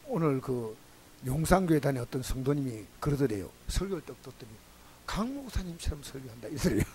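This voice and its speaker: Animated Korean pastor with a Gyeongsang accent. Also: male voice, medium pitch, fast, slight background noise. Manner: folksy, animated storytelling to the congregation. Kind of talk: sermon.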